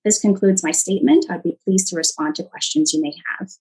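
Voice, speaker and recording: A woman giving formal prepared testimony as a government witness, measured in her delivery and reading from a statement. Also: mid-range voice, animated, darkish tone, slightly rough, low-quality recording.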